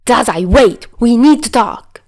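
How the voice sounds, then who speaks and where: Woman acting hot-tempered boy, shouting, raised pitch. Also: expressive, unhurried. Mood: urgent. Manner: shouting, confrontational, sharp.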